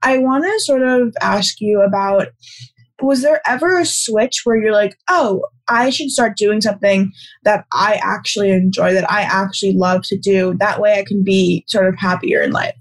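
A woman's voice: medium pitch, normal speed. Young woman hosting a podcast. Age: young adult. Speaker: podcast host.

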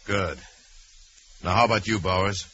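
A man's voice: low-pitched, normal speed, close to an everyday voice. A man playing a confident, commanding crime-fighting hero, firm and persuasive, in an old radio drama. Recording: dull, noisy vintage radio recording.

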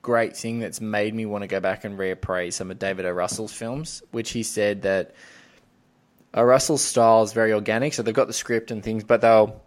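A man hosting a podcast, talking casually and effusively about film in a rambling way. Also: on the low side. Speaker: podcast host.

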